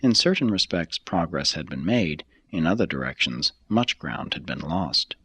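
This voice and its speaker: A man reading a religious text as scripted narration, in a measured, solemn and formal manner. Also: deep voice, sing-song, pushed and bright, husky, dry recording. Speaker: reader.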